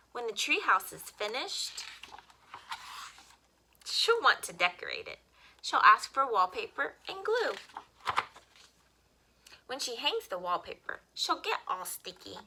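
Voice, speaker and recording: Woman, children's story time reader, very bright tone, animated expressive intonation, faint background noise. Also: medium pitch. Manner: expressive, simple phrasing addressed to young children. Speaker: story time host reading children's books. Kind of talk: children's read-aloud storytelling.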